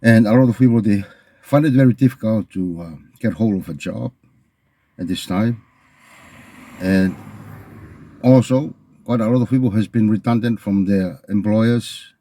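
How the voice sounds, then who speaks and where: A middle-aged man with a Tongan accent speaking in an earnest, halting, reflective unscripted monologue as a video host, often repeating phrases. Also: fairly low pitch, warm tone.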